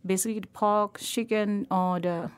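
A middle-aged woman with a Thai accent speaks in a medium-pitched voice. She talks in halting, searching phrases, stopping to find the words. A light laugh slips in now and then. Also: steady tone, clear, resonant tone. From Thailand. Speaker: chef and restaurant owner. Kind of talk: casual interview chat.

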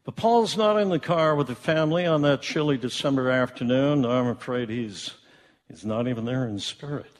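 A man narrating a live radio play in a folksy, conversational storytelling style. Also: medium pitch. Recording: echoey theatre hall.

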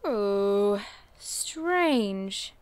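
A woman's voice: mid-range voice, expressive, extremely slow, clear, resonant tone, dry recording. A woman playing a curious, bookish cryptid investigator in an audio drama, earnest and wordy with dry playfulness. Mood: thoughtful, intrigued. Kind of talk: audio drama acting, naturalistic dialogue.

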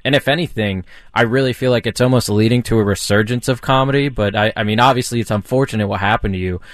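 A man's voice: on the low side, fast. A man, the show's producer, asking thoughtful, rambling questions with lots of restarts. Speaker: executive producer. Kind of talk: talk radio conversation.

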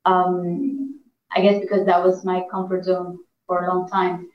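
A woman's voice: lowish voice, completely flat delivery, unhurried, slight background noise. A woman from Iran, a negotiation trainer and coach, giving earnest interview answers with frequent fillers and restarts. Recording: echoey, dull lo-fi room sound.

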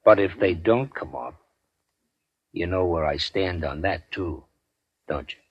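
An actor playing a blunt, menacing gangster henchman in a 1940s radio drama, gravelly and deliberate. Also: low voice, expressive, no echo, muffled recording, heavily acted. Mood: quietly threatening.

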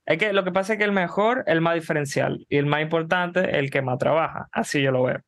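A man co-hosting a football podcast, speaking in a calm, measured way and calmly correcting his co-host with facts. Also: a little high, gravelly. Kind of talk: casual football chat.

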